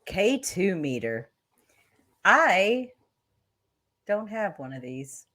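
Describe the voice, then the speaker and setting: A woman's voice: mid-range voice, sing-song, extremely slow, bright, forceful tone. A woman co-hosting a show, explaining things in emphatic, folksy, casual chat. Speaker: co-host of a paranormal show.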